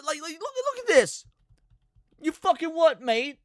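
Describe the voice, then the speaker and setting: Male streamer, high-pitched voice, animated and sweary, casual live horror reactions, dry recording. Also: unhurried, dry recording. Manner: animated, profane slang, exclaiming.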